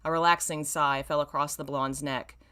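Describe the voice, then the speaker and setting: Low-voiced woman reading with wry asides. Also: steady tone, moderate pace, sharp tone, clear, resonant tone. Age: 30s. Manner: self-mocking, wry, theatrical emphasis when reading. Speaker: streamer reading own old fan fiction.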